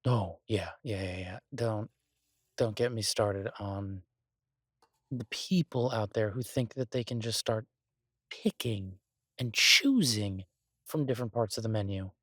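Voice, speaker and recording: A man playing an exasperated restaurant chef venting to his partner in a halting, grumbling audio roleplay. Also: fairly low pitch, fairly bright tone, no room sound, clean studio sound. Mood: exasperated, ranting. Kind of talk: boyfriend audio roleplay, conversational monologue.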